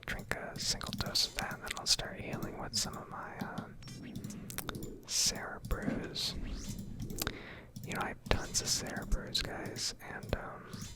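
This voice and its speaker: A woman giving casual, rambling game commentary in a soft ASMR whisper, hesitating as she goes, close to the microphone. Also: a little slow. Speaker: gaming video host.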